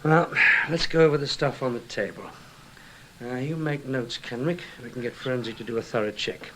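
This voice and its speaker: Terse British spymaster, played by an actor, noisy recording. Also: male voice, medium pitch, brisk, close to an everyday voice. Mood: brisk, probing. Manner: terse, clipped questioning. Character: brisk, weary senior male British intelligence officer. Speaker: investigator and first-person narrator. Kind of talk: radio drama acting.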